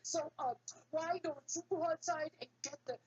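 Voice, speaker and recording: A woman's voice: high voice, normal speed, a little mellow, voice pushed up, natural voice. A woman voicing a huge hungry male troll who roars threats and then turns meek and pleading in a told folktale. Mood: wheedling.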